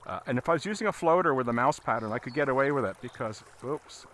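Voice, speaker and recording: A man giving a casual, halting fly fishing tutorial as an instructor, talking while he works outdoors. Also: medium pitch, lively intonation, fairly bright tone. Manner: halting, explaining as he casts. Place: outdoors, standing in a river. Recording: outdoor recording with water noise. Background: running water.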